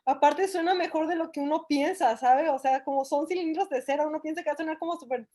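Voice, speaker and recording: A woman chatting casually in a webinar, expressive and colloquial. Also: high-pitched, fast.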